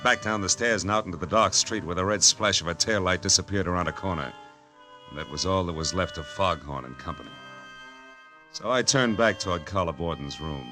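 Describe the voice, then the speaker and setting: A man's voice: low-pitched, natural voice. An American man playing a tough, wisecracking private detective in an old radio drama, clipped and sardonic. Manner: hard-boiled, clipped, sardonic. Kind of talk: 1940s-50s radio detective acting and narration. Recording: old radio recording with music.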